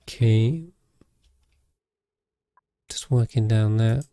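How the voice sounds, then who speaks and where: A British man streams a game in a fairly low, calm voice. He talks casually to his viewers and thinks aloud as he goes, measured and unhurried. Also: clear, resonant tone. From Britain. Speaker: live streamer, host. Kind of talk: casual game commentary while chatting with viewers.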